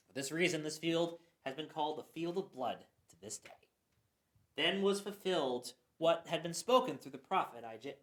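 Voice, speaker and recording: A woman giving a dramatic Bible reading, expressive, with occasional stumbles. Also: low-pitched. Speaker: scripture reader. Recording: roomy echo.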